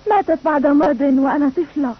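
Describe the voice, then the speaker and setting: An actress playing a gentle, principled young princess in a cartoon dub, earnest and sweet. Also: female voice, high voice, fast, natural voice. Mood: upset. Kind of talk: dubbed cartoon acting. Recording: tinny old cartoon dub, faint noise.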